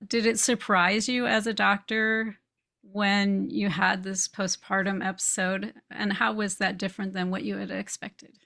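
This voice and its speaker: A woman's voice: mid-range voice, flat delivery, a little slow, gravelly, a bit bright. A woman hosting a conversational interview, affirming and often checking in with short tag questions. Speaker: podcast host.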